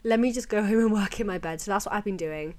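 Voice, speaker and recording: A young British woman hosting a casual, chatty solo podcast, rambling and confiding, her voice a little croaky from a cold and sore throat. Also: medium pitch, fast, dark tone. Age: young adult. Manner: informal, rambling, confiding, peppered with "like" and "you know". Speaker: podcast host.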